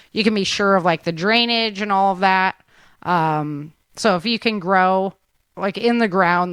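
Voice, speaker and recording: Woman podcast host, lowish voice, chatty n rambling, really dry sound. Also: dry recording. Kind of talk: casual interview chat.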